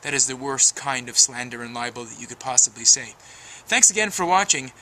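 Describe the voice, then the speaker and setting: A man's voice: medium pitch, brisk, sharp tone, a little hiss or hum, poor audio. A man giving a wordy, emphatic, preachy advice monologue as a video blogger.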